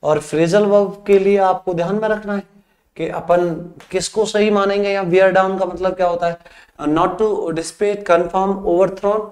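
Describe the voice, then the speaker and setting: High-voiced Hindi-speaking man teaching English. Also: fairly even intonation, unhurried, a little mellow. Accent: Rajasthani Hindi. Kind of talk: exam practice lesson. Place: classroom studio with a whiteboard screen.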